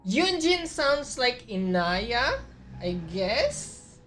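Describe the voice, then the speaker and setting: A woman's voice: fairly high pitch, big pitch swings, drawn-out words, a bit bright, soft background music. A woman with a Filipino accent doing casual, reactive game commentary, musing aloud and switching between languages. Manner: reactive, muses aloud, mixes two languages. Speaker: streamer.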